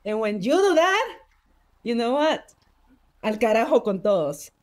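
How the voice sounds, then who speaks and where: A woman with a Spanish accent, a native Spanish speaker, telling personal stories as a podcast guest in an expressive, heartfelt way. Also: fairly high pitch, measured pace, no echo. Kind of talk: personal storytelling in conversation.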